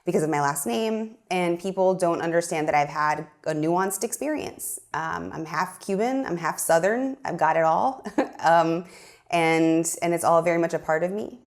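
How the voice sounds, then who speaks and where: A woman with a notably low voice talks through her ideas in a studio interview. She is a young adult from Miami, earnest and reflective, and she often pauses on an um. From Miami, USA. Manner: thoughtful, earnest, with fillers. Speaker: filmmaker guest. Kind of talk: interview conversation.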